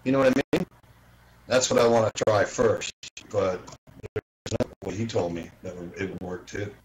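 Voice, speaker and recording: A man's voice: lowish voice, normal speed, background noise. A man chatting informally on an online group call as a radio hobbyist, rambling and repeating himself. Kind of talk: casual chat.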